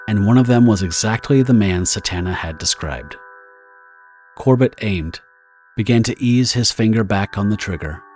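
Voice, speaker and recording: Guy reading a dramatic story, lowish voice, measured and theatrical, bit of music under it. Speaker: narrator. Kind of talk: dramatic scripted story narration.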